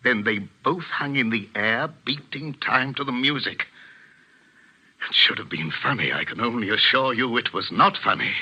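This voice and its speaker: An actor playing a middle-aged English village vicar in a radio mystery, speaking with measured, commanding earnestness in an English accent. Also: male voice, mid-range voice, lively intonation, sharp tone, husky, muffled recording, full character voice. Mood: grave, intense. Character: grave, authoritative English village vicar, a father. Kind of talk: 1940s radio mystery acting.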